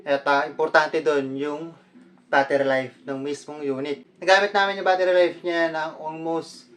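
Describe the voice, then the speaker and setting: A man's voice: a little high, fairly even intonation, moderate pace, a bit bright. A man with a Filipino accent, a vlogger talking casually through a product unboxing in a conversational way, mixing Tagalog with English.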